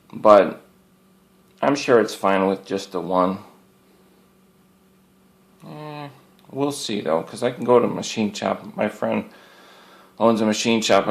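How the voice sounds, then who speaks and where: A man giving a casual, rambling product show-and-tell in a folksy, plainspoken way, often repeating his phrases. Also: fairly low pitch. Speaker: hobbyist presenter showing radio antenna gear.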